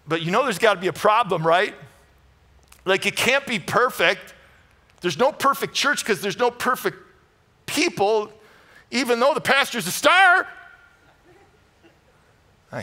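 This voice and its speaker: A middle-aged American man preaching a conversational sermon, emphatic and animated, moving between loud bursts and hushed asides. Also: high voice, measured pace, pauses often. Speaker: pastor preaching. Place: large church auditorium stage with audience.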